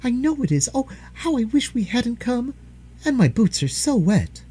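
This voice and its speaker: A man's voice: very high voice, big pitch swings, normal speed, mellow, husky, voice pushed up, exaggerated voice. A man voicing two young children, a boy and a girl, in a storybook reading, sounding anxious and raised. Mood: fretful.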